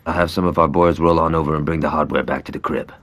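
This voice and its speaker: An actor playing a brash, sarcastic, violent gang lieutenant in a video game cutscene, foul-mouthed and cocky. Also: male voice, a bass voice, steady tone, fast, a bit bright. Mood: impatient, brash.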